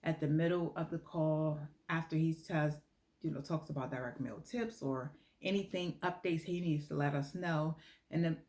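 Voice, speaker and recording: A woman's voice: sits low, moderate pace, a little mellow. An American woman talking informally in a promotional video, explaining earnestly and repeating points for emphasis. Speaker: lottery club promoter.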